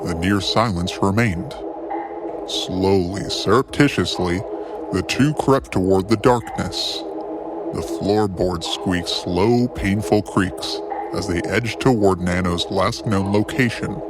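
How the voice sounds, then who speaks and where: A man narrating a scripted story in a measured, deliberate, dramatic way. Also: deep voice, over music.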